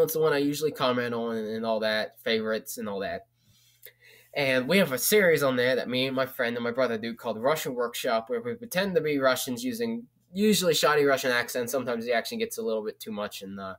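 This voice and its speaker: A young man talks casually to camera, rambling with plenty of fillers and restarts. He swears offhandedly, and his voice sits at an ordinary middle pitch. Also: fairly bright tone. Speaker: vlogger. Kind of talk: casual book recommendation.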